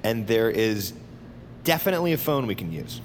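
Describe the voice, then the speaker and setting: An actor playing a tense detained assassin, halting and pleading with strained politeness, in an audio drama. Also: male voice, on the low side, big pitch swings, a little hiss or hum. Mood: wary. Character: tense, desperate detained male assassin insisting he is sane.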